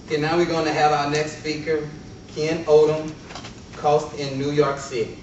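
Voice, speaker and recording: A man's voice: a little high, little pitch movement, normal speed, a little mellow. A man casually hosting and introducing speakers before an audience. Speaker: host introducing contest speakers. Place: meeting room before an audience. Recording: dull, very noisy, echoey recording from far off.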